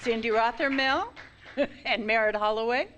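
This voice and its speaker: A woman leading a church service, giving brisk, practical cues to the people around her. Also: a little high, a bit bright, a little hiss or hum.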